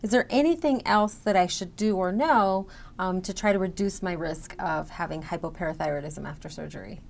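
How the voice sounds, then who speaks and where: A woman's voice: on the low side, a little fast, gravelly. A middle-aged woman interviewing a guest with semi-scripted questions. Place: indoor event room with banner backdrop.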